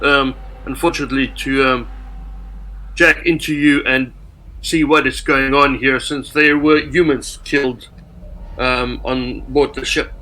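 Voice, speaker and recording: A man playing a tabletop game, talking casually in character in a halting, polite way. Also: mid-range voice, steady tone, pushed and bright, poor audio.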